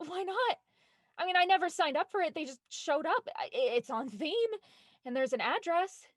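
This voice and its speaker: A woman's voice: extremely high pitch, expressive, moderate pace, no echo, studio quality. An actress playing a determined, impatient woman with an urgent, insistent manner in an audio drama. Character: Felicity, determined, impatient woman desperate to find her brother.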